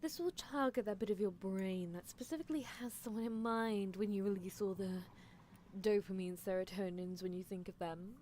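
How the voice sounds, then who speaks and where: A woman's voice: mid-range voice, normal speed, dark tone, a little hiss or hum, close to an everyday voice. A woman voicing an obsessive mad scientist who coaxes and condescends to a captive love interest in an ASMR roleplay, with menacing affection. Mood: clinical, possessive.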